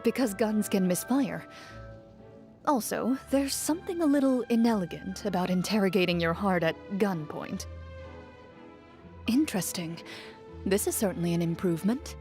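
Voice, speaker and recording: A woman's voice: medium pitch, lively intonation, warm tone, natural voice. Woman acting a composed, stern female duelist, music behind. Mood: earnest, confident. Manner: measured, formal, dryly understated. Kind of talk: video game character voice lines.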